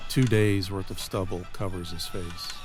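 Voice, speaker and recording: A man narrates an audio drama in a fairly low voice. He reads scripted, dramatic scene description. Music plays clearly underneath him. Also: fairly even intonation, measured pace.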